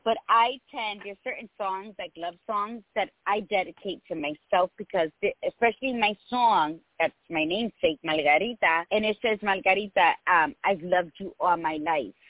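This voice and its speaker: A woman's voice: medium pitch, moderate pace, hardly any pauses, no echo. Older lady host, reflective, dry tinny phone sound. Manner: warm, reflective, quotes poetry. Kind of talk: casual radio chat.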